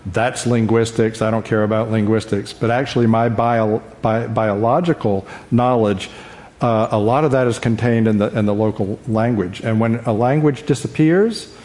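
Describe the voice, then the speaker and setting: A man speaking unscripted as a journalist on a panel, in a halting, hesitant way. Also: on the low side, steady tone, darkish tone. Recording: echoey hall reverb.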